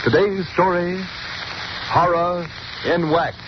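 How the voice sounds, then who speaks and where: A man's voice: high voice, steady tone, slow pace. A man as radio announcer, reading a commercial and introduction in an emphatic, persuasive 1930s style. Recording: dull old radio broadcast with hiss.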